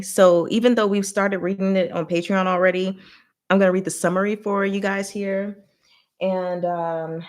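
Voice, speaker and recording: A woman hosting a livestream, rambling casually in a chatty, giggly way with animated reactions. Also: mid-range voice. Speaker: livestream host.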